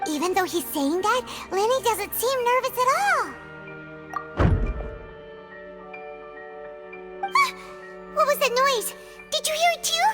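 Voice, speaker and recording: A woman's voice: very high-pitched, expressive, moderate pace, in a large hall, music in the background. A woman voicing a tiny, chatty, curious childlike fairy companion in a video game. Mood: puzzled. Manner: refers to self in third person, chirpy. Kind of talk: cartoonish video game acting.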